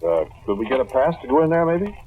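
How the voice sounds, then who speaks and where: A man's voice: mid-range voice, big pitch swings, fast talker, lightly acted. An actor playing an easygoing, uneasy man telling his eerie story in a conversational 1940s radio drama style. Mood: uneasy, curious. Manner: conversational, musing, hesitant asides. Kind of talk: 1940s radio drama acting, confiding first-person narration. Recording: muffled, noisy 1940s radio recording.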